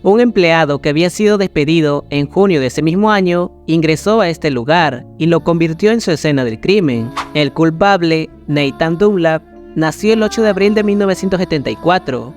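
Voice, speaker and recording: Higher-pitched male horror story narrator. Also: lively intonation, moderate pace. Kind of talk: scripted creepypasta narration. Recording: music behind the voice. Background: background music.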